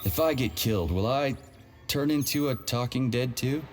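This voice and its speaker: An actor playing an irritable, foul-mouthed, sardonic action hero in a video game. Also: male voice, mid-range voice, normal speed, over music, natural voice. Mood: weary, annoyed. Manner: sardonic, exasperated, blunt.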